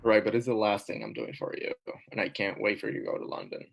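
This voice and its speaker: A man's voice: on the low side, very animated, quick pace, gravelly, exaggerated voice, poor audio. A man reading a sulky, grumbling young man. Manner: hesitant, grumbling. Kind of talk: audition scene reading.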